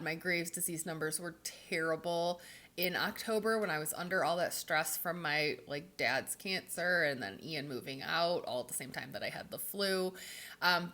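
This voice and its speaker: Woman vlogger w a cold, stuffy, kinda low voice but sharp bright tone, lots of ums and likes. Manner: rambling, lots of fillers like "um" and "like". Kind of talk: casual chatty update.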